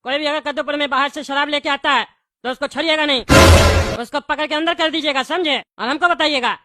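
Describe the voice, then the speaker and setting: Self-important cartoon village leader, acted out: high voice, commanding and preachy, very noisy recording. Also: fairly even intonation, quick pace, lightly acted. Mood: stern, bossy. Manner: commanding, preachy, repeatedly demands agreement. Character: bossy, self-important male village politician, cartoon pumpkin. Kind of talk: Hindi cartoon dialogue. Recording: loud background noise with clicks.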